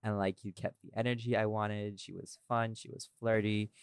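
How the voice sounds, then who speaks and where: Male podcast co-host, lower register, dry sound, informal talk, repeats phrases for emphasis. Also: flat delivery, normal speed, creaky, clean tone, no room sound. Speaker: audio series creator and podcast co-host. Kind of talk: casual chatty behind-the-scenes discussion.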